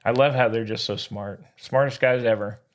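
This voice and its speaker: A man's voice: medium pitch, fairly even intonation, normal speed. A man co-hosting a sports podcast, talking in casual, slangy banter with a sarcastic, jokey, exclamatory manner.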